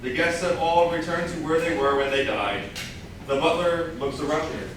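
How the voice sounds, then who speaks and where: A man's voice: on the high side, normal speed. A man giving scripted narration, reading out stage directions at a staged play reading. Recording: echoey hall, far mic, clear noise.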